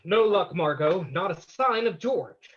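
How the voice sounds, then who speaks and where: A man playing a resolute, cryptic crime-fighting sleuth in an old-time radio drama, measured and decisive, recorded a little dull. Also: sits high, lively intonation, slight background noise. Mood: grave. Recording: slightly dull, lo-fi sound.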